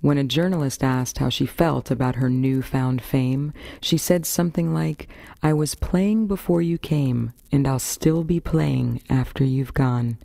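American woman, radio host, scripted storytelling, soft dark tone, very dry recording. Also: a bass voice, a little slow, gravelly, no room sound. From Florida, United States. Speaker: variety radio show host.

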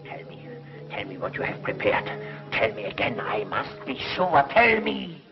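An actor playing a vengeful, power-mad underworld ruler in a 1950s TV sci-fi serial, with a theatrical, ranting, obsessive delivery. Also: male voice, mid-range voice, sing-song, moderate pace, vocal fry. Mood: anxious, demanding. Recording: muffled 1950s TV soundtrack with music.

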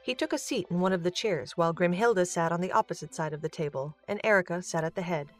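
Woman narrator reading an audio drama story, lowish voice, some music underneath. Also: quick pace.

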